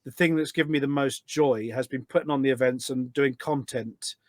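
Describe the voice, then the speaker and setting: A man's voice: medium pitch, a little fast, no echo. A man talking enthusiastically as a guest in a casual interview, explaining things in a rambling way. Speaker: podcast guest, gaming community event organiser.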